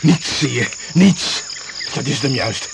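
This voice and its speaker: Frightened exhausted man, jittery fragmented delivery, heavy rasping creak, radio drama, clear insect noise. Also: mid-range voice, expressive, unhurried. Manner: jittery, insistent, fragmented phrases. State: worn out, agitated. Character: exhausted, spooked male jungle crash survivor. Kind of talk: Dutch radio play acting. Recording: noisy, insects and frogs behind.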